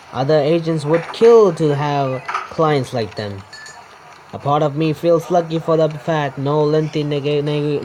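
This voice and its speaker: A man's voice: on the high side, darkish tone, slight background noise, rough recording. A man reading a visual novel's narration aloud for a let's play, often stumbling over the words.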